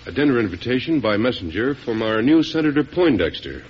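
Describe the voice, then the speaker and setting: An actor playing a cautious, formal aide to a president in an old-time radio drama, with a deferential manner. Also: male voice, mid-range voice, big pitch swings, a little fast, natural voice. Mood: businesslike. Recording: muffled, noisy vintage radio recording.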